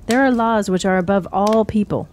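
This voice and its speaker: A woman playing a cryptic, sorrowful wise woman who counsels youths in measured riddles in a fantasy radio drama. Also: mid-range voice, darkish tone, a little hiss or hum, lightly acted. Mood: knowing, grave. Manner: measured, riddling, archaic storyteller phrasing.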